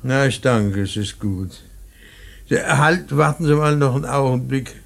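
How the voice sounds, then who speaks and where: Grave older professor for classic radio play: gravelly voice, terse questions, quiet authority. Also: male voice, medium pitch, a little slow. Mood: probing. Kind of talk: old German radio drama acting.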